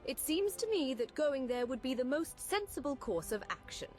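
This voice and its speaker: A woman's voice: high-pitched, sing-song, normal speed, darkish tone, faint music behind. A woman voicing a formal, devoted mage in a fantasy video game, with courteous, archaic phrasing. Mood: courteous, resolute. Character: formal, devoted female mage of a secretive college. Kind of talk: scripted fantasy game dialogue.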